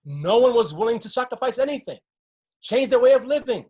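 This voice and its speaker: A woman's voice: a little high, lively intonation, brisk, warm tone, husky. A woman playing a wary, resigned survivor in a stage play, with a pragmatic, cautioning way of talking. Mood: earnest, reassuring. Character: wary, resigned flood survivor clinging to a cramped shelter.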